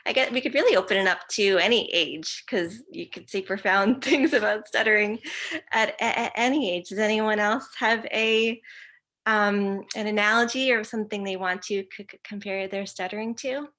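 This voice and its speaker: A woman's voice: mid-range voice, normal speed, fairly bright tone. A woman who stutters openly, moderating a friendly video call and reading out chat messages with frequent laughter. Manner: stutters with repeated sounds, upbeat and laughing often.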